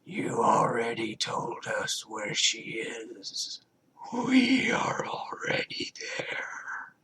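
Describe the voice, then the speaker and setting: An inhuman hive mind from a horror story. It speaks in an eerie, breathy whisper, dragging each word out very slowly. The manner is sinister, coaxing and possessive. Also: male voice, medium pitch, huge pitch swings, bright, forceful tone, exaggerated voice. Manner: slow, drawn-out, eerie.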